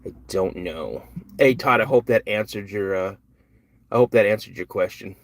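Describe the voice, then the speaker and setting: A man's voice: lowish voice, moderate pace. An older man hosting a live podcast, reading and answering chat questions in a rambling, earnest, self-correcting way. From United States. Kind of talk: casual live-stream chat.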